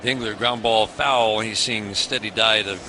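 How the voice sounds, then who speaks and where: A man's voice: lowish voice, fairly even intonation, measured pace. An American man calling a baseball game live as a radio play-by-play announcer. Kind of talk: live radio sports commentary. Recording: stadium ambience noise behind the voice. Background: ballpark ambience.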